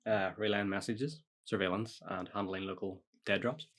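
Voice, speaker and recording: A man's voice: on the low side, fairly even intonation, normal speed, fairly bright tone. A man hosting a podcast, reading out a prepared story in a casual way with offhand asides. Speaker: podcast host telling true-or-false stories.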